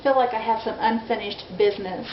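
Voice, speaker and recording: A woman's voice: a little high, steady tone, a little fast. A woman in her forties chatting candidly in a casual video diary, self-deprecating and frank. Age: 40s. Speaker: vlogger. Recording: dull lo-fi audio in an echoey room with faint noise.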